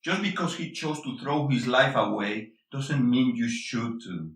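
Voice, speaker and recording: A man's voice: mid-range voice, lively intonation, measured pace, fairly bright tone. A man acting a bitter, angry middle-aged father and husband in a stage-play reading, confrontational and emphatic, slipping between English and Spanish. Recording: echoey room, distant mic.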